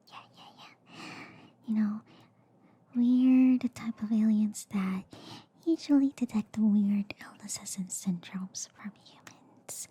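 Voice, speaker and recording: A woman voicing a quirky, reassuring alien girl doctor in a soft ASMR roleplay, mostly in a hushed, breathy whisper with a soothing, chatty manner. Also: medium pitch. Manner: slow, chatty, soothing, repeating filler words. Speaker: ASMR performer.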